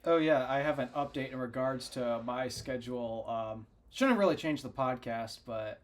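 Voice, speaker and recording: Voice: mid-range voice, normal speed. A co-host on a casual tech podcast, teasing the host and laughing often.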